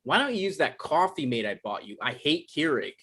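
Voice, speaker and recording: A man's voice: on the high side, big pitch swings, brisk, a bit bright, husky, full character voice. An actor playing an awkward, evasive novelist in his thirties, stammering through naturalistic comedic dialogue in a table read. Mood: irritated. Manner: hesitant, stammering. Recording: online live-stream table read.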